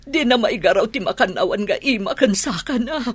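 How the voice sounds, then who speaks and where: An actress playing a distressed, irritable mother in an Ilocano radio drama, with a husky, emotional delivery. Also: a little high, animated, brisk, slight background noise, natural voice. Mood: tearful distress.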